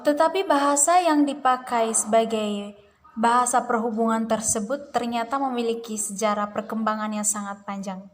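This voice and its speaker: A young woman, a student moderator, reading a class presentation in a steady, careful way. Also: a little high, moderate pace, slight background noise. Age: young adult.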